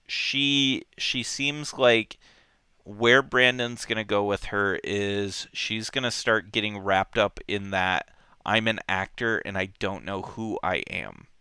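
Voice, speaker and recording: A man's voice: low-pitched, sharp tone, smooth, no echo, clean studio sound. A man casually discussing a book as a host, rambling and thinking aloud as he goes. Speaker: book club podcast host.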